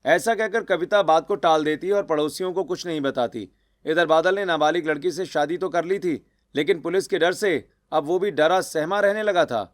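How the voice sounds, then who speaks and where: Higher-pitched male story narrator. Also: moderate pace. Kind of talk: scripted cartoon story narration.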